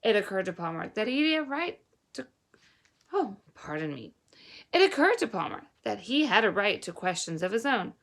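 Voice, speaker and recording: A woman with a medium-pitched voice reads a story aloud like a teacher to pupils. Her intonation is lively and varied, and her delivery is expressive and instructive. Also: normal speed. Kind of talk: storybook read-aloud narration.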